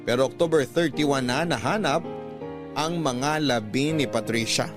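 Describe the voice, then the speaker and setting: A man's voice: medium pitch, unhurried. A man with a Filipino accent narrating a scripted dramatic story as a radio drama storyteller. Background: background music.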